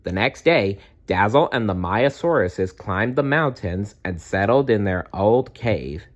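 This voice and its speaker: A man narrates a children's storybook at a very unhurried pace. His lowish voice moves through a wide range of pitch, with expressive, deliberate delivery. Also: dull audio. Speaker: storybook reader. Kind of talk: children's read-aloud narration.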